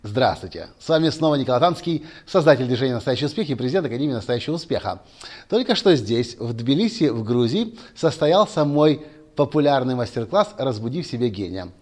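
A man's voice: medium pitch, a little mellow. A man speaking straight to camera as a motivational speaker, earnest and lecturing, often restarting his sentences. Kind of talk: direct-to-camera monologue.

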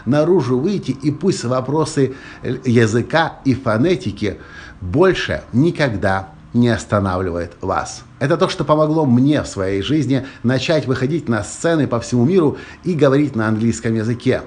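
Brief: male podcast host, Russian-Ukrainian accent, emphatic motivational monologue, medium pitch. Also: expressive, moderate pace, darkish tone. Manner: emphatic, repeats key phrases, small restarts.

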